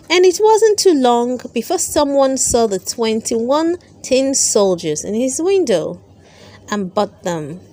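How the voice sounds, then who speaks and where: A woman narrating a children's storybook aloud, with careful, expressive storytelling. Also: sits high, dry recording. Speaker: storyteller, channel host.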